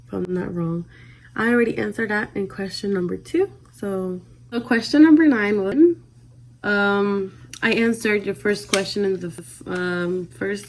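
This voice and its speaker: A woman in her twenties with a Dominican accent answers questions in a relaxed, chatty vlog. Her voice is soft, and she often lets the ends of her lines fade out quietly. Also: mid-range voice. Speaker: vlogger. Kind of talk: casual Q&A vlog.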